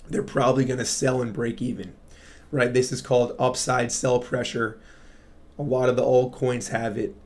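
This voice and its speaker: A man with a raspy voice hosting a casual crypto livestream, explaining charts to viewers and often checking in with "right?". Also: mid-range voice. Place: home studio. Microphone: studio mic.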